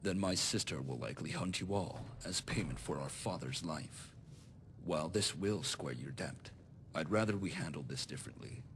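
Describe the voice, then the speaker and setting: Scheming illegitimate lord for fantasy game, male actor: very low, gravelly, formal and composed, faint rumble behind. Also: normal speed. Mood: cool, resolute. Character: scheming illegitimate nobleman, calculating, resentful, self-made. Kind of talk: scripted video game dialogue.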